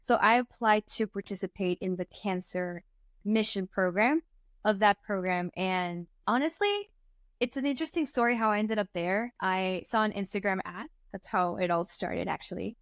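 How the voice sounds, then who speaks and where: A young woman in her twenties from Venezuela, telling her story as an interview guest in a chatty, animated way with many fillers. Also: medium pitch, normal speed, dry recording, clean studio sound. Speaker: startup co-founder, guest. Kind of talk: casual interview talk.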